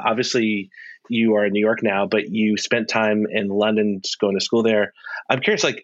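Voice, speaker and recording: A man's voice: lowish voice, no echo, highs cut off. A man hosting a podcast, asking a guest curious, open questions in a casual way. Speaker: podcast host. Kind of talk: casual interview.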